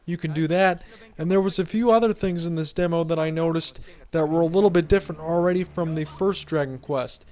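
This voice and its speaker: High-voiced man rambling over gameplay. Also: a little fast. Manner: rambling, conversational explaining. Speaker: game video creator. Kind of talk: casual gameplay commentary. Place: home room, indoors.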